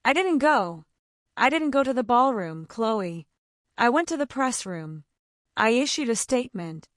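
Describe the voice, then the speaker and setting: A woman reading the lines of a desperate, exhausted young man, in an urgent, low confessional tone. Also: very animated, unhurried, no echo, studio quality.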